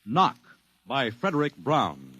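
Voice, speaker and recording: A man announcing and narrating in dramatic 1950s radio style, with measured, ominous storytelling. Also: medium pitch, wildly swooping pitch. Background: dramatic music.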